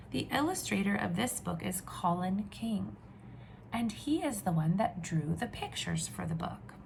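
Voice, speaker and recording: A woman teacher reading aloud to young children, with expressive questions and drawn-out emphasis. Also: mid-range voice, gravelly, a little hiss or hum. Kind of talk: children's read-aloud lesson.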